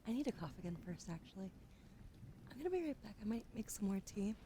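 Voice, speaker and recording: Whispering woman, allergy-hoarse ASMR host. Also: mid-range voice, very animated, fast talker. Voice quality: soft whispering. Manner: apologetic, thanks followers by name. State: coughing from allergies. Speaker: ASMR streamer. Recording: noisy background.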